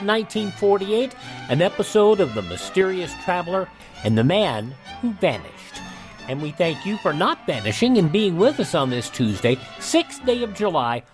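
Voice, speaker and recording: Man hosting a radio program. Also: fairly high pitch. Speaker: radio show host. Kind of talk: this-day-in-history radio narration.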